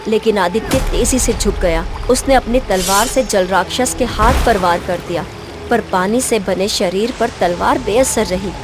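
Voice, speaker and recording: A woman storyteller giving scripted, dramatic narration of a fairy tale. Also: mid-range voice. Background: background music.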